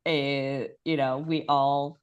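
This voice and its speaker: A young woman from Bangladesh, a holistic coach, talking about her life in a soft, reflective way with many fillers and restarts. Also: rumbling low, little pitch movement, slow, smooth, dull audio. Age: young adult. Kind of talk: casual interview chat.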